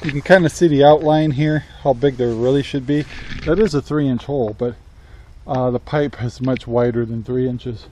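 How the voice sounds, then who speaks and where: A man's voice: medium pitch, steady tone, moderate pace, dark tone, a little hiss or hum. A man in his sixties casually talking to a handheld camera in his yard and greenhouse, rambling with lots of fillers. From United States. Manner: easygoing, rambling with many "uh" fillers. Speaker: homesteading vlogger. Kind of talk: casual how-to vlog. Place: outdoors in a yard and inside a greenhouse. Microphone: camera held at arm's length.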